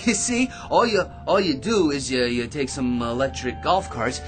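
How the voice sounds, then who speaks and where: An American actor in a radio drama playing a cocky, ordinary man who rambles smugly and stammers with excitement. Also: mid-range voice, normal speed. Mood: excited rambling. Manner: rambling, smug, stammering excitedly, pestering with tag questions. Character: cocky, chattering, ordinary man full of crackpot schemes. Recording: radio drama with music underneath.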